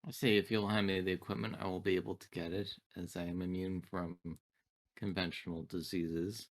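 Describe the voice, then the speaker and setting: A man voicing a polite, literal female android assistant in a tabletop game, speaking in a calm, formal, measured way. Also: deep voice, natural voice.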